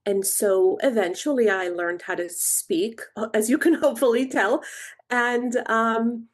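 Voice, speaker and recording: A middle-aged woman from Israel, a coach being interviewed on a podcast, who explains herself in a thoughtful, measured way. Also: fairly high pitch.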